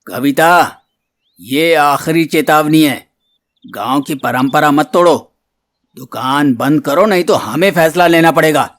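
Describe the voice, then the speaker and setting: A man's voice: fairly high pitch, a little slow, fairly bright tone, no echo, clean studio sound. Stern, authoritative village headman. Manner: authoritative, admonishing. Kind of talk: Hindi moral-story cartoon voice acting.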